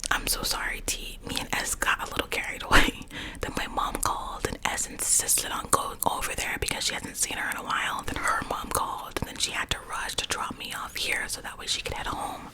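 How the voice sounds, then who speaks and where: A woman's voice: a little fast, lightly acted, low-quality recording. Field: a woman whispering as a teasing young man. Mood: apologetic.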